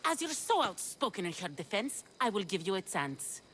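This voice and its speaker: A woman's voice: mid-range voice, sing-song, moderate pace, fairly bright tone, a little hiss or hum. A woman voicing a stern, commanding priestess in a game. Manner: cold, commanding. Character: stern priestess presiding over the games.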